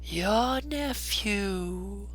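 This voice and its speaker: Storybook ghost: high, soft and breathy, very slow, gentle otherworldly spirit. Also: male voice, animated, faint music behind, full character voice. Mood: solemn, wistful. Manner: very slow, measured delivery. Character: gentle, ethereal ghost spirit speaking slowly and softly.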